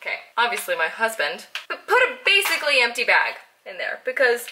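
A woman's voice: on the high side, sing-song, fairly quick, echoey room, background noise. A young woman in her twenties chatting playfully to the camera in a casual vlog while cooking in her apartment kitchen. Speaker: vlogger. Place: small apartment, living room and kitchen. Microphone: handheld camera at arm's length.

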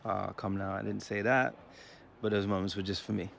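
Low, creaky young male footballer. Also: flat delivery, a little fast, a little hiss or hum. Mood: modest, easy. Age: young adult. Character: young male star footballer, modest and focused. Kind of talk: naturalistic game cutscene dialogue.

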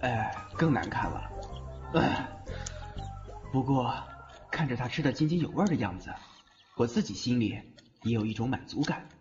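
A man playing a smitten, easily flustered young man in an audio drama, expressive, tender and a little exasperated. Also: medium pitch, normal speed, long pauses, lightly acted. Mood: fond, exasperated. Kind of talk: audio drama acting with inner monologue. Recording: muffled lo-fi audio drama over music.

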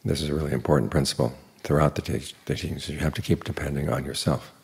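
An older man, a Buddhist monk, giving an evening dharma talk in an unhurried, plain teaching manner with dry humor. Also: fairly even intonation. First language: English. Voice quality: very deep gravelly voice.

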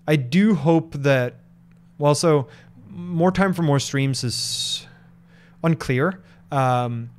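A man's voice: medium pitch, clear, resonant tone. Norwegian man musing through a livestream Q&A. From Norway. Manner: thoughtful, hesitant with many fillers and restarts. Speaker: streamer answering viewer questions. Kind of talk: casual live Q&A. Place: home office room. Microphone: large microphone on desk in front.

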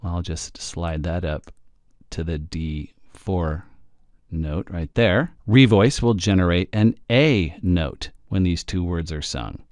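Low-voiced man giving a casual software tutorial. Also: slow pace, dry recording, clean studio sound. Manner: informal, wry, self-deprecating. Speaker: audio software instructor. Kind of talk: casual screencast tutorial, thinking aloud while demonstrating. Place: indoor close-miked voice-over, dry.

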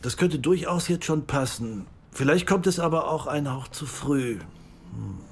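Measured, thoughtful male mentor. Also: on the high side, expressive, a little hiss or hum. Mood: pensive. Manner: measured, analytical. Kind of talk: naturalistic audio drama acting.

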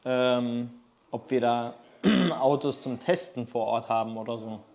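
A man's voice: medium pitch, unhurried, gravelly, a little mellow. Man reading out viewer questions. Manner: reads questions aloud, short agreeing interjections. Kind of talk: casual Q&A chat.

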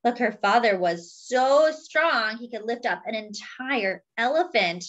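A woman reading a picture book aloud to young children and commenting on the pictures, expressively and with pauses for emphasis. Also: a little high, expressive, highs cut off. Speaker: children's storybook reader.

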